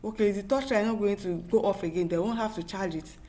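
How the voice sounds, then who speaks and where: A woman's voice: medium pitch, quick pace, mellow. A woman with a Nigerian accent hosting an opinionated news commentary, speaking emphatically and in exasperation, with repetition and rhetorical questions. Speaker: talk show host reading viewer comments.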